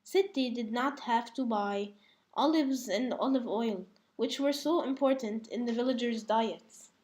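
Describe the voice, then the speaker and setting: A female student speaks in class at a slow pace. Her voice sits a little high, with the delivery of someone answering or reading aloud for a teacher. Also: darkish tone. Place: indoor classroom.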